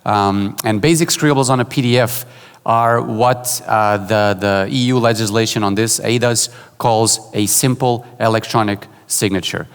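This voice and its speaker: A man from Portugal giving a conference keynote, relaxed and joking, with many fillers and restarts. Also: on the low side, unhurried, clear, resonant tone. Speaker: keynote speaker. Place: large conference hall. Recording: hall reverb.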